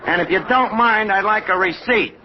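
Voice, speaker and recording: A dull, noisy old radio recording has a male actor as a wisecracking, cowardly tavern manager with a New York accent. He speaks high for a man, in streetwise, mock-formal comic style. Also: normal speed, lightly acted. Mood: nervous, placating. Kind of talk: 1940s radio comedy acting.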